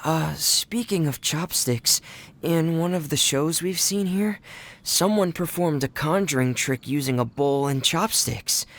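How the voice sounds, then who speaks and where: Awkward earnest young lad, anime game, takes his time, gravelly edges. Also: fairly high pitch. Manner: hesitant, earnest. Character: earnest, awkward young magician's assistant boy.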